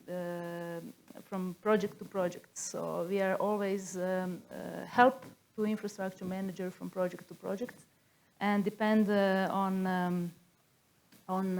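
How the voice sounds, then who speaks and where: A woman with a Croatian accent speaks in a slightly low voice. She gives a spontaneous panel answer, hesitant and halting, with some faint noise in the recording. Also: darkish tone. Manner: hesitant, halting non-native English. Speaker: university researcher on a panel.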